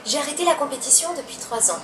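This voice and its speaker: A woman's voice: high voice, animated, fast talker. A young woman, a dancer answering interview questions hesitantly, with many fillers and restarts. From Italy. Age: young adult. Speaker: professional dancer, interview guest. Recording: roomy distant mic with background noise.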